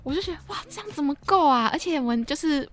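A woman's voice: high-pitched, fast talker. A young adult woman with a Taiwanese Mandarin accent, chatting casually and expressively as a podcast speaker. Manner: expressive, exclamatory. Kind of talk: casual chat.

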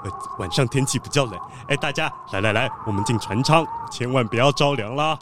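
An actor playing a kindly, principled gentleman treasure collector, earnest and expressive, in a children's audio drama. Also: medium pitch, brisk, very noisy, close to an everyday voice. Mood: grateful.

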